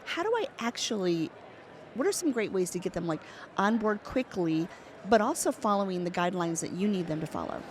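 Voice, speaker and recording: A woman's voice: medium pitch, expressive, a little fast. A woman hosting a conversational interview, speaking as the interviewer. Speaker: interviewer, host. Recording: faint background ambience noise.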